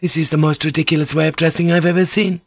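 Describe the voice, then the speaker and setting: Man putting on a prim lady's voice, high and careful, very formal and polite. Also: flat delivery, quick pace, no room sound, studio quality. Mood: quiet, formal. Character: proud, composed young noblewoman. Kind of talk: audiobook character voice.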